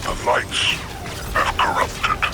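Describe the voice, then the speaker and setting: A man's voice: takes it slowly, over music, lightly acted. A man voicing a grandiose, vengeful alien warlord in a video game, giving theatrical oratory to his legions. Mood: impassioned, commanding. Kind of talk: video game villain acting.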